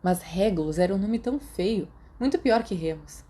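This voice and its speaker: A woman's voice: mid-range voice, expressive, normal speed, warm tone. A woman with a Brazilian accent reading scripted fiction as an audiobook narrator. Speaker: podfic narrator. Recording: noticeable background noise.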